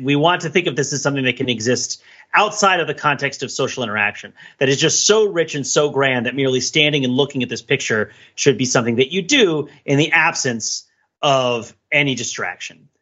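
A man's voice: mid-range voice, lively intonation, a little fast, sharp tone, highs cut off. A man co-hosting a podcast in casual talk, with a wry, wordy, analytical way of explaining things. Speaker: podcast co-host.

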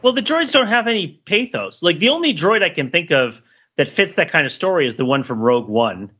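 A man's voice: a little high, big pitch swings, a little fast, pushed and bright, slightly rough, muffled recording. A man in a casual analytical discussion, rambling emphatically, repeating words and asking rhetorical questions as a podcast panelist.

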